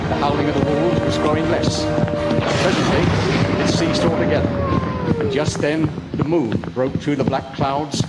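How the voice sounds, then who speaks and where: A man plays a young English clerk narrating his journal in a gothic radio drama. The voice is fairly high, earnest and tense. Loud music plays behind it. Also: lightly acted, poor audio.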